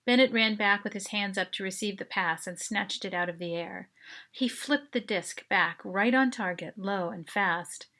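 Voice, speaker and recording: A woman reading a first-person short story as its author, in an expressive, dramatic narration style. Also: medium pitch, moderate pace.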